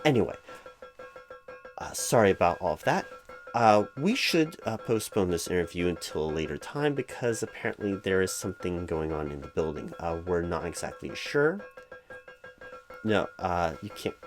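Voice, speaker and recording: Over background music, a man playing a flustered, officious hiring manager speaks in a low voice. He stammers and fusses through office procedure in a comedic one-sided interview roleplay, halting and restarting as he goes. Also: big pitch swings. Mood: flustered, apologetic. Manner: halting, stammering, fussy and bureaucratic. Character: flustered, exasperated, officious male hiring manager, distracted, apologetic.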